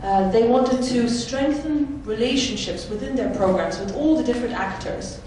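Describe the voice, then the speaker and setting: A woman from the Netherlands giving a conference presentation, explaining clearly with occasional fillers. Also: fairly high pitch, normal speed, a little mellow. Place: large conference hall. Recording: echoey hall, mic from far off, noisy.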